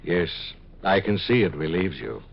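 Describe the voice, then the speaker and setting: An actor playing a calm, detached scientist of the far future in a 1950s radio drama, speaking in a measured, clinical way. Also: male voice, sits low, gravelly, heavily acted. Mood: detached, frank. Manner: measured, matter-of-fact, clinical. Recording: muffled 1950s radio recording.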